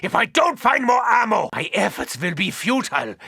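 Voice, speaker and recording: An actor playing a frantic, arrogant mad doctor in a video game, theatrical and imperious with formal wording. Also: male voice, high-pitched, sing-song, normal speed, creaky, pushed and bright, slightly rough, dry recording, clean studio sound, heavily acted. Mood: alarmed, desperate. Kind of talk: video game character barks.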